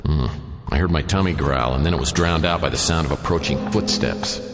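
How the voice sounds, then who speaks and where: An actor playing a wisecracking hard-boiled detective who narrates in a dry, sardonic noir style. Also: male voice, very deep voice, brisk. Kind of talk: noir radio drama narration. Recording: reverberant with music behind.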